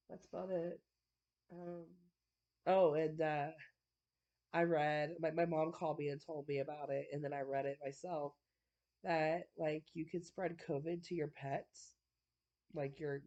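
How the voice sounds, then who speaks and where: Congested woman rambling to camera at home, low voice, kinda dull lo-fi sound. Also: dark tone. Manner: rambling, chatty, laughs often, many fillers. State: congested, stuffy nose. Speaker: vlogger. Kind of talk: casual chat to camera. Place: at home indoors.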